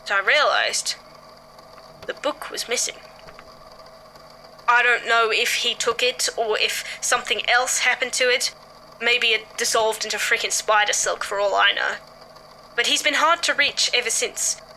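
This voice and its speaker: A woman playing a shaken young male podcaster giving a halting, earnest witness statement in an audio drama. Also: on the high side, sharp tone, slight background noise, low-quality recording. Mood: anxious, pleading. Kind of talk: first-person audio drama monologue.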